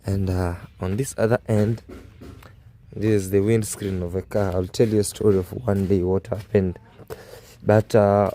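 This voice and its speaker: Soft-spoken young man, low mellow voice. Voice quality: hoarse. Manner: soft-spoken and mournful, with frequent fillers. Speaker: vlogger. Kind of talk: casual vlog to camera. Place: outdoors at a family home.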